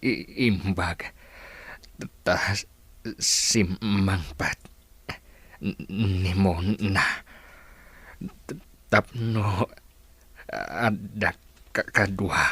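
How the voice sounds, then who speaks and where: An ailing, weary father in a radio drama with an Ilocano accent. He drags out each word slowly in a low voice, stammering and breaking off as he struggles to speak. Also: bright, forceful tone, slightly rough, no echo, full character voice. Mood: weary, tender. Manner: halting, heavily stammering, broken words.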